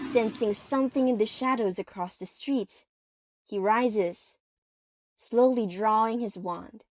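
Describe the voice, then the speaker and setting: A woman narrating an audio play in a theatrical, suspenseful manner, pausing for effect. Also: medium pitch, darkish tone. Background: music.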